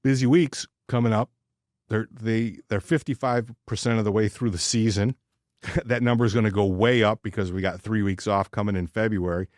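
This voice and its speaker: A man's voice: on the low side, steady tone, moderate pace, darkish tone, no room sound, studio quality. A man co-hosting a sports podcast, chatting casually and giving opinions in informal, sometimes profane language.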